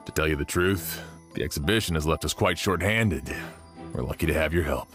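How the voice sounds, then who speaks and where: A courteous, concerned official from a video game in a deep voice. He is earnest and polite, with light music underneath. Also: fairly quick. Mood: earnest, questioning. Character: polite, concerned male official investigating a case.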